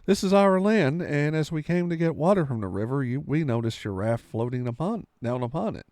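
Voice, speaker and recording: A man's voice: fairly high pitch, lively intonation, fairly quick, darkish tone, no echo, clean studio sound. A man voicing a friendly, curious native rescuer in a storybook, speaking formally and courteously. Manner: formal, archaic storybook speech.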